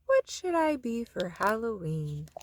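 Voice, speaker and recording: An excitable little boy frog from a storybook, played by a woman, dramatic and full of imagination. The voice swoops widely and stretches words out theatrically. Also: a little high, measured pace, a little hiss or hum. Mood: excited, dreamy.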